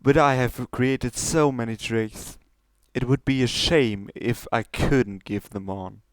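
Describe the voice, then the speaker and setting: A man's voice: mid-range voice, slow pace, dry recording, close to an everyday voice. A man voicing a polite older stallion, a retired magician and courteous mentor, in a story reading with a formal manner. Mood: courteous, hopeful.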